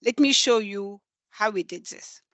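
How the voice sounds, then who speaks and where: A woman's voice: mid-range voice, a little slow, no room sound. Woman with a Senegalese accent, dry close sound. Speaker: presenter from a development organisation. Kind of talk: prepared webinar presentation, storytelling.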